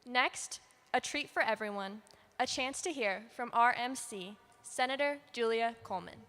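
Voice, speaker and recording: A woman's voice: a little high, normal speed, sharp tone, slight background noise. A woman hosting an event, cheerful and giggly as she introduces the next speaker. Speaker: event host.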